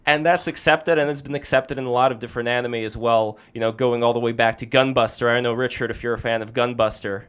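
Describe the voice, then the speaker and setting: A man with a smooth, resonant voice hosts a relaxed panel chat. He steers the talk and leans on plenty of hesitant fillers as he goes. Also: medium pitch, quick pace, hardly any pauses. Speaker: host. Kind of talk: casual panel discussion.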